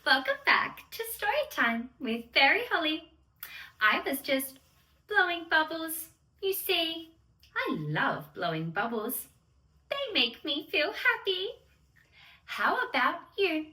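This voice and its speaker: A woman playing a sweet, excitable young fairy who gushes in a sing-song way to little children as she hosts a bedtime story read-aloud. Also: sits high, takes it slowly, full character voice. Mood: playful, excited. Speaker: children's storyteller and channel host. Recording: roomy echo, distant microphone.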